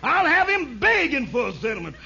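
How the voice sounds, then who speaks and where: A man's voice: very high-pitched, sing-song, brisk, creaky, pushed and bright, exaggerated voice. A man playing a brash, boastful, shady talker in a 1950s radio comedy, cocky and blustering, with slangy broken grammar. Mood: cocky bragging. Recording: very noisy, muffled 1950s radio recording.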